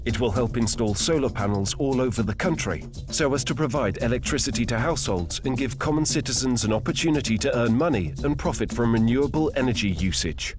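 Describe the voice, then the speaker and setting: A man reads documentary narration in a steady, explanatory way at a middle pitch. His studio voice-over sits over a clear music bed. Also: a little fast, few pauses. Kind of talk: scripted narration.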